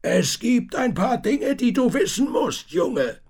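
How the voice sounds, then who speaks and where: A man's voice: very high voice, very animated, slow, darkish tone, husky, pitched above the performer's own voice, exaggerated voice. A man voicing a weathered, haunted old tinker who speaks urgently in a raised voice.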